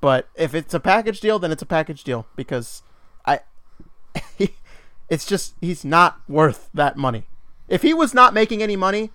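A man co-hosting a sports podcast, talking in a somewhat high voice. He rants with exasperation and lets out laughs between his points. The sound is dry and close, with no room echo. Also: normal speed. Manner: animated, exasperated ranting with laughs. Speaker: podcast co-host. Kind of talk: casual sports chat.

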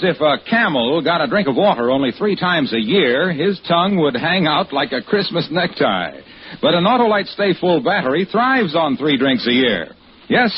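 Male vintage commercial announcer, highish and animated, emphatic folksy sell, dull radio sound with faint noise. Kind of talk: 1940s radio sales pitch. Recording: muffled, noisy old radio recording.

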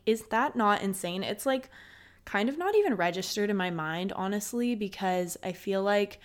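Young woman in her twenties, solo podcast host, chatty and upbeat, slightly low voice, smooth. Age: 20s. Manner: chatty, frequent "like", candid and upbeat. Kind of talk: casual solo podcast chat.

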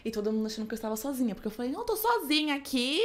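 A woman from Brazil rattles through her stories at a quick clip in a fairly high voice. She chats casually as a podcast guest, full of asides and little quoted voices. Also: very animated. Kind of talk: casual chat.

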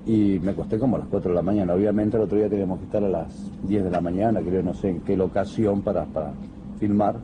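A man's voice: deep voice, steady tone, fast, background noise. A man, a singer and actor telling an amused story in an interview.

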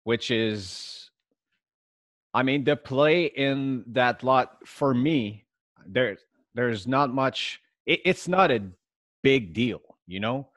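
A man's voice: medium pitch, animated, slow, fairly bright tone, no echo. A man whose first language is French, giving casual market commentary as a guest investor and collector.

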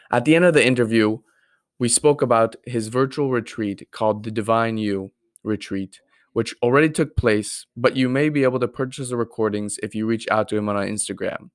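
A man's voice: lowish voice, no echo, studio quality. A man hosting a podcast, speaking earnestly and reflectively, with many fillers when he puts questions to a guest. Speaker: podcast host. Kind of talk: podcast intro and interview.

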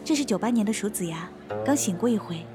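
An actress playing a polite, attentive young waitress with courteous, formal service speech in an audio drama. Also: female voice, mid-range voice, lively intonation, fast, over music, lightly acted.

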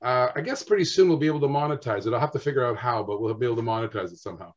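A man telling lively stories as a guest on a video call, acting out the dialogue as he goes. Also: medium pitch, very fast, dull audio. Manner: animated storyteller, acts out dialogue. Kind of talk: casual storytelling chat.

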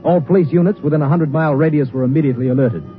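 An actor narrating a police drama as a sober case-file storyteller, formal and scripted, with an American accent. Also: male voice, fairly high pitch, very fast, faint music behind, close to an everyday voice. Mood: grave, factual. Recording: muffled old radio broadcast recording.

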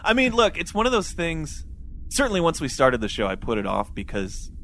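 A man's voice: on the high side, wildly swooping pitch, fairly quick, sharp tone, a little hiss or hum. A man co-hosting a podcast in casual chat, with a joking, self-deprecating manner. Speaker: podcast co-host. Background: background music.

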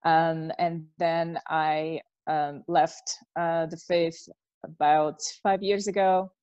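A woman's voice: sits low, level tone, takes it slowly, a little mellow, no room sound, muffled recording. A woman from Belgium speaking informally as an interview guest, explaining things in a conversational way. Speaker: interview guest, podcast host. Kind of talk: informal personal introduction in an online interview.

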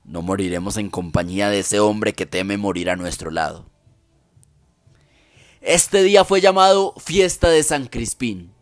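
Warrior king rallying troops , played by a man: solemn Shakespearean declamation, unhurried and weighty, dry recording. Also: lowish voice, lively intonation, no room sound. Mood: rousing. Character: stirring warrior king rallying his outnumbered army. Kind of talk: dramatic Shakespeare monologue reading in Spanish.

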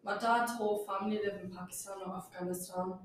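Young girl chatting slangy, mid pitch voice, echoey room, faint noise. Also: fairly even intonation, fast, recorded from a distance. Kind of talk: casual group chat.

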